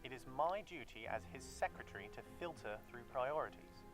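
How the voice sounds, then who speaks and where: A prim, guarded secretary, played by a man in a video game, with a British accent and a lively, swooping pitch. He is formal and politely evasive, choosing clipped words, and music plays clearly behind him. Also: fairly quick, close to an everyday voice. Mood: evasive, dry. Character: prim, guarded male secretary to a cathedral dean.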